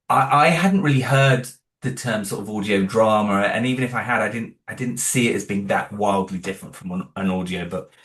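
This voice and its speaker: A man's voice: fairly low pitch, a bit bright, echoey room. A man being interviewed as an audio drama creator, chatting casually with many restarts and asides. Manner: rambling, many restarts, laughs along.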